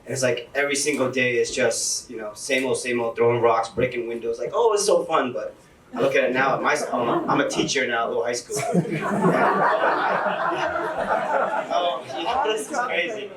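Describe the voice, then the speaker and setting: A man's voice: mid-range voice, expressive, moderate pace, noisy background. A young man, a teacher, giving a chatty, laughing talk to an audience at a launch event. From Lowell, Massachusetts. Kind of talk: casual speech to an audience. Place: event hall. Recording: echoey hall from far off.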